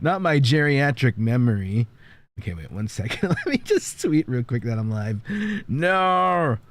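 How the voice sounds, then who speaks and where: A man's voice: medium pitch, dry recording. A man streaming live, chatting casually and thanking viewers by name, laughing often. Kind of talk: casual livestream chat. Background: faint background music.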